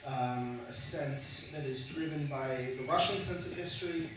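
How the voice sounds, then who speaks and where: A man's voice: medium pitch, a little slow, noisy background. Man in an echoey lecture room. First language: Slovak spoken at home as a child. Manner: hesitant, many fillers and restarts, dry humor. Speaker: guest speaker, historian. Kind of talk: academic panel talk. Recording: echoey room, far from the mic.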